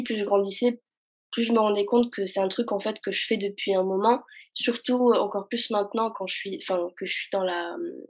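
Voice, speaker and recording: Brief: young adult woman, husky mid-pitched voice, hesitant reflective speech. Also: a little mellow. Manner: hesitant, searching for words, many fillers. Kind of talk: casual conversation.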